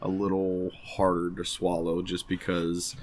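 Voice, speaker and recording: A man co-hosting a casual podcast, talking loosely and informally with a halting flow. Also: sits low, monotone. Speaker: podcast host. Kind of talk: casual movie discussion podcast.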